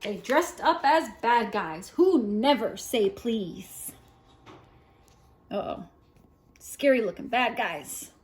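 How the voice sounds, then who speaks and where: A woman's voice: a little high, animated, takes it slowly, pauses often. A woman reading a picture book aloud at story time, expressively, with little asides to a small child.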